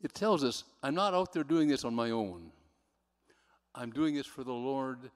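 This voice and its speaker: A man's voice: mid-range voice, animated, unhurried. A man giving an earnest, somewhat rambling personal testimony from the audience at a religious gathering. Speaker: audience member. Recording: reverberant hall.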